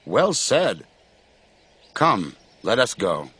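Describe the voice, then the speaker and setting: An actor playing an uncertain young son on a search, with a husky voice, in a dramatized scripture reading. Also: male voice, on the low side, wildly swooping pitch, extremely slow, fairly bright tone, slight background noise, full character voice. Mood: resolved.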